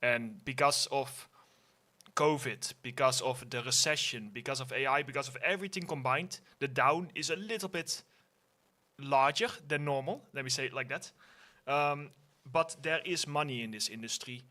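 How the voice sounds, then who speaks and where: A man's voice: mid-range voice, normal speed, pushed and bright. A man speaking frankly on a livestream panel, blunt about his industry and steering the talk to the next guest.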